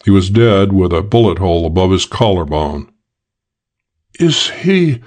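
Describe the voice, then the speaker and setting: A man narrates a crime story in the first person, his voice sitting on the low side. His delivery is measured and dry, in a steady hardboiled manner suited to an audiobook.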